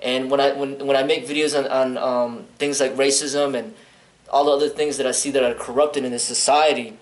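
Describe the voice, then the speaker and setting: A man of medium pitch from Chicago speaks as an interview guest, blunt and earnest. He rambles, restarts phrases and fills gaps with "um". His voice sits in a roomy, echoey space. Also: level tone, a little fast. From Chicago, United States. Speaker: martial arts instructor, guest. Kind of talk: interview answers.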